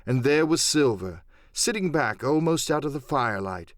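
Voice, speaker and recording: A man narrating a classic adventure audiobook in an expressive, dramatic storytelling manner. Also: mid-range voice, normal speed, dry recording, studio quality. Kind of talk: dramatic scripted literary narration.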